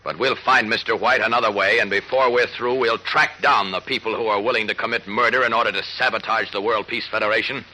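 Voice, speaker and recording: An actor playing a grave, resolute hero in a 1940s radio serial, speaking with decisive authority. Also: male voice, lowish voice, fairly even intonation, fairly quick, hardly any pauses, bright, forceful tone. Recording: noisy old radio broadcast recording.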